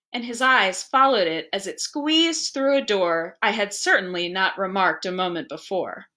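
Bright-voiced woman reading a story aloud. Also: on the high side. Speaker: library staff member reading aloud. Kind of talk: scripted story narration.

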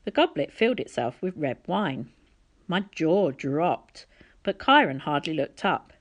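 A woman reading a children's adventure story aloud as expressive scripted narration. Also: deep voice, a little slow. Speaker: audiobook reader.

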